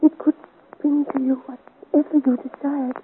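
An actress playing a frail, dying young woman in a 1950s radio serial, mournful and resigned, speaking weakly in halting phrases. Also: high voice, level tone, natural voice. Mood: urgent, failing. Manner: halting, weak, broken phrases. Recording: scratchy, tinny 1950s radio recording.

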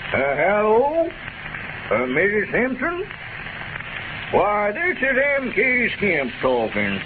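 An actor, pompous, hesitant and oily in his flattery. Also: high-pitched, slow, full character voice. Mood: unctuous. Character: scheming, sanctimonious small-town squire, folksy busybody. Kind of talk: 1930s radio comedy acting. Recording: scratchy muffled 1930s radio broadcast, heavy noise.

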